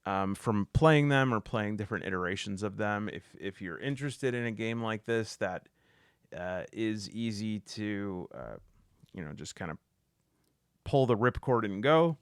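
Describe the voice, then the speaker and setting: A man hosting a solo tabletop game show, talking casually to camera in a halting way with lots of fillers and restarts. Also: lowish voice, lively intonation, smooth, no room sound, clean studio sound.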